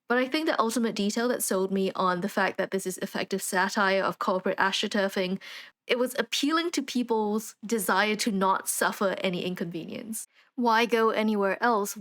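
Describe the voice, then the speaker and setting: Casual, wry woman doing video commentary. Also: medium pitch. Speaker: video essayist and host.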